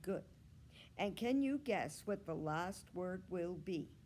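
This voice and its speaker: A woman's voice: lowish voice, expressive, slow pace, darkish tone, slight background noise. A woman reading aloud as a patient, pleasant female primary school teacher, putting questions to a class in an instructive way. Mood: encouraging.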